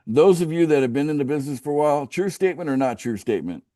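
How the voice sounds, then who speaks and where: A middle-aged American man from the West Coast, pitching a business opportunity on a casual group call, often restarting sentences and asking the group for agreement. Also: expressive, racing, warm tone, no room sound, clean studio sound. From United States, West Coast. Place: outdoors by a home pool.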